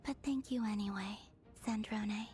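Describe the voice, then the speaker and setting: A woman voicing a gentle, serene young woman in a video game, softly polite and calm. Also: mid-range voice, normal speed, soft background music, close to an everyday voice. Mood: gentle, wistful. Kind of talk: video game character acting.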